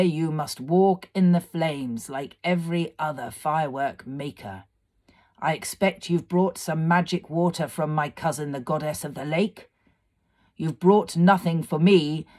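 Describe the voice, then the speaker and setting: A woman's voice: low voice, darkish tone. A woman voicing a male fire fiend in a storybook, imperious, mocking and cruel, with a declamatory delivery. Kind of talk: dramatised storybook reading.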